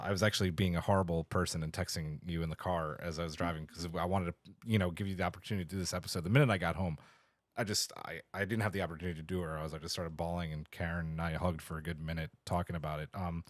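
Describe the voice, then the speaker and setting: A man co-hosting a podcast in casual, heartfelt chat, telling stories emphatically and haltingly. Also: low-pitched, fairly even intonation, no echo. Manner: emphatic, halting, enthusiastic storytelling with acted-out quotes. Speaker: podcast co-host.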